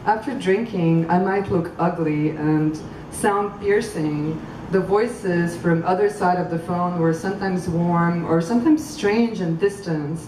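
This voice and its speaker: Woman from Croatia, low voice, slow and halting, poetry reading, distant mic, echoey outdoor space with traffic noise. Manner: halting, thoughtful spoken intros, measured reading. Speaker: poet reading at a public event. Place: outdoor public venue.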